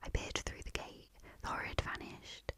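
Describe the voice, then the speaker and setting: A woman reading a storybook aloud in a soft, hushed storytelling manner. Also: normal speed, whispered. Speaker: narrator.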